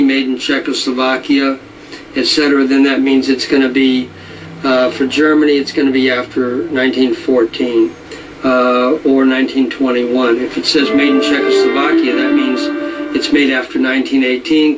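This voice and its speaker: A middle-aged man who deals in violins, speaking in a measured, knowledgeable way with frequent fillers. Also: medium pitch, little pitch movement, slight background noise, low-quality recording. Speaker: violin dealer. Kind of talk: casual explanatory talk.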